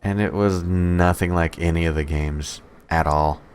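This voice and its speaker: Man, low voice, podcast co-host, casual film chat, slangy, sweary. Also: steady tone, measured pace, darkish tone, clean tone. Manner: profane, slangy. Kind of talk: casual chat about films.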